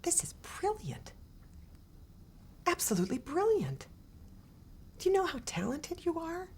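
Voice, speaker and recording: A woman's voice: extremely high pitch, very animated, measured pace, a little hiss or hum, voice pushed up, exaggerated voice. A woman voicing a kind, elegant male schoolteacher who whispers praise and sternly scolds a teasing class. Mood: admiring whisper. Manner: hushed admiring whisper, then stern rebuke.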